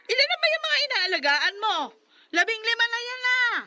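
A woman's voice: very high voice, very animated, normal speed, sharp tone, full character voice. A woman playing a scolding middle-aged mother in a Filipino radio drama, emphatic and repeating herself. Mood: angry scolding. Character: nagging, scolding middle-aged mother who resents her children's cats.

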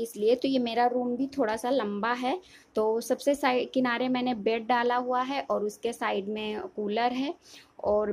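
A woman's voice: on the high side, darkish tone. A young woman giving a casual house tour in an explanatory way, often repeating and rephrasing herself. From India. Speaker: home vlogger. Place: inside a family house, moving room to room. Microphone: handheld phone behind the camera.

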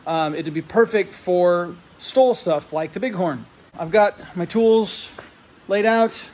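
A man's voice: sits high, slow pace. A man in his thirties filming himself with a handheld phone in a large metal hangar, talking casually and a bit rambling as he explains a model plane setup. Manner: relaxed, rambling, with fillers and restarts, explains technical setup. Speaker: RC plane hobbyist vlogger. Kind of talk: casual walkthrough vlog. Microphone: handheld phone camera held close.

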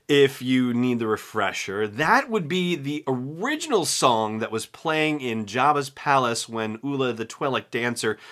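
A man's voice: mid-range voice, a bit bright. A man in his forties talking casually and emphatically as an enthusiastic solo podcast host, recorded at a desk in a small room at home.

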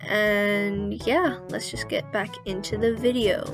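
A woman's voice: medium pitch, steady tone, slow pace, soft background music. A young woman gives a casual, self-deprecating voice-over for her own vlog. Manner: apologetic, chatty, self-deprecating. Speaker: vlogger narrating as editor.

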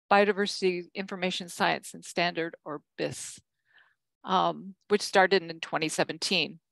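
An older woman giving a conference keynote from slides, measured, with frequent ums and self-corrections. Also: mid-range voice, gravelly, a bit bright, no echo. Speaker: keynote speaker.

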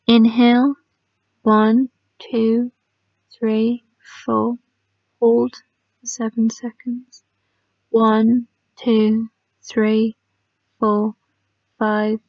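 A woman leading a guided sleep meditation in a soft, calming manner, often trailing off into a near-whisper. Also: fairly high pitch, extremely slow, creaky, long pauses, no room sound. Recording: dry, slightly muffled lo-fi sound.

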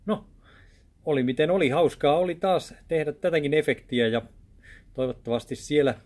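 A man's voice: on the high side, darkish tone. A man in his thirties talking casually in a rambling, chatty way while he walks through building a film set in a small office studio. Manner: chatty, rambling, with many restarts. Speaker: video maker showing a set build. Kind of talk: casual DIY vlog talk. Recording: background music under the voice. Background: music playing under the talk.

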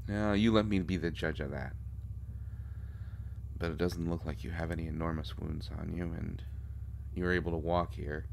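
A man playing an immortal vampire doctor in an intimate audio roleplay, a fussy, protective friend who scolds with fond, halting exasperation. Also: low voice, fairly even intonation, gravelly, darkish tone, slight background noise. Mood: concerned, fussing.

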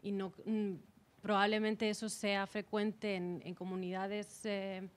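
A woman from moderating a panel discussion, measured in her speech. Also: mid-range voice, little pitch movement. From Spain.

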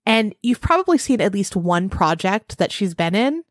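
A woman in her thirties co-hosts a true crime podcast in a casual, conversational way, working through her researched notes. Her voice sits at a middle pitch and sounds close and dry, with no room around it. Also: very animated, moderate pace, no room sound. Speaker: podcast co-host.

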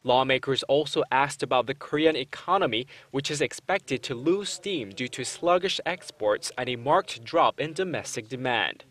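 A man's voice: medium pitch, animated, normal speed, hardly any pauses, a bit bright. Young male news reporter. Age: young adult. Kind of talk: scripted news report narration.

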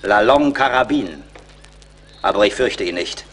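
An actor playing a cunning, vengeful, proud Huron chief in a German radio play, with formal, insinuating declamation. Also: male voice, on the low side, animated, moderate pace, a bit bright. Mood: demanding, hostile. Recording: faint background noise.

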